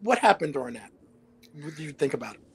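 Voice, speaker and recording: A man's voice: a little high, big pitch swings, fast talker, slight background noise. A middle-aged man hosting a podcast, asking his guest questions into a studio microphone. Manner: attentive, frequent short affirmations. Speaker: podcast host. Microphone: headset and studio microphone.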